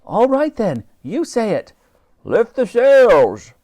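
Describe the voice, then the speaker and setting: A man voicing a brave, bossy young girl who shouts orders in a storybook reading. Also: high-pitched, very animated, slow, voice pushed up, heavily acted. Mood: commanding.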